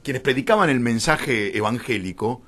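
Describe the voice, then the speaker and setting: A man's voice: mid-range voice, lively intonation, normal speed, fairly bright tone. A middle-aged man with a Rioplatense Argentine accent, explaining his views as a radio interview guest in an opinionated, irreverent, emphatic way.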